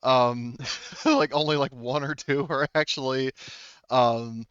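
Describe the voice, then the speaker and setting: A man's voice: medium pitch, fairly even intonation, takes it slowly, a bit bright, smooth, no room sound, muffled recording. A man running a tabletop game, narrating off the cuff in a casual, rambling way. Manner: casual, rambling, often says like. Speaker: game master.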